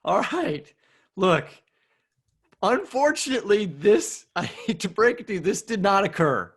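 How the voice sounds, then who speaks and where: A man's voice: high-pitched, very animated, a little slow, husky. A man with an American accent co-hosting a podcast, making introductions and asking questions in a conversational way. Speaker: podcast co-host.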